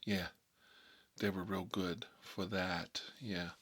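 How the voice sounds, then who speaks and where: A man's voice: sits low, steady tone, slow, a bit bright, breathy edge, exaggerated voice. A man playing a hot-headed, sentimental mob boss in an improvised comic monologue, talking in a rambling, repetitive, colloquial way. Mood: nostalgic rambling.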